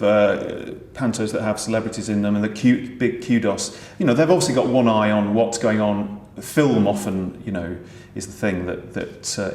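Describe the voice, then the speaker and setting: A man, a pantomime writer and performer, talking through his ideas in a thoughtful, halting way with many fillers. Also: lowish voice, a little mellow. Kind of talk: conversational discussion. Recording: echoey room with faint background noise.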